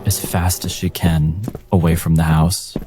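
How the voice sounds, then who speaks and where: A man runs a tabletop game with suspenseful narration. His voice sits very low and moves slowly, with music clearly playing behind him. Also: little pitch movement. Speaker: game master.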